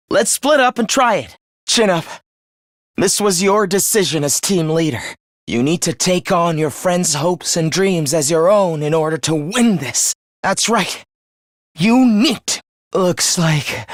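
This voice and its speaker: A man's voice: sits high, fairly bright tone, no echo, studio quality. A man playing a young video game fighter who is eerily polite and fervent, speaking in theatrical, formal phrases of morbid devotion. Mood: urgent, encouraging. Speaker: voice actor. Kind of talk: dramatic video game character acting.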